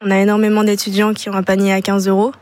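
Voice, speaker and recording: A woman's voice: medium pitch, completely flat delivery, fast talker, darkish tone. A young woman, a student charity vice-president, answering radio interview questions hesitantly, with frequent filler sounds.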